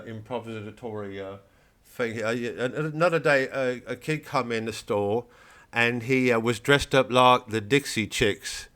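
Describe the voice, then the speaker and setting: A man in his fifties rambles through a comic monologue, restarting sentences and swearing freely. His voice sits at a middle pitch, and his tone turns dry and sardonic. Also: pushed and bright, clean tone. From United States. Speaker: comedian. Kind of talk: rambling comedic monologue on a livestream.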